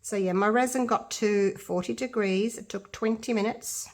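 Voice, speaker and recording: A woman's voice: medium pitch, moderate pace. A middle-aged woman with an Australian accent and a congested, blocked-up nose, chatting casually through a resin art tutorial and thinking aloud as she works. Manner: chatty, self-deprecating, thinking aloud while working.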